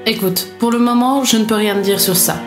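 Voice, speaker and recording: An actress playing a calm, polite alien woman in an audio drama, gentle and composed. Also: a little high, fairly quick, close to an everyday voice. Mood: serious. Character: calm, polite, reassuring female alien companion. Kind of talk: French audio drama acting. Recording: roomy sound with music behind.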